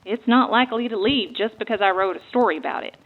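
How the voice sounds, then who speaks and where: A woman's voice: fairly high pitch, fast. A woman giving a dramatic reading of eyewitness accounts from a book. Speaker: guest reader. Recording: thin, phone-like narrow band.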